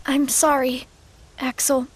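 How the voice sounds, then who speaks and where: A woman playing a gentle but resolute teenage girl in a video game, anguished and softly pleading. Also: sits high, flat delivery, slow pace, heavy background noise.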